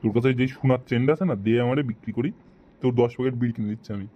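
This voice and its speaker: A man's voice: mid-range voice, fairly even intonation, racing, muffled recording, lightly acted. A man voicing an exasperated, sarcastic, foul-mouthed friend in a comic cartoon. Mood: coaxing.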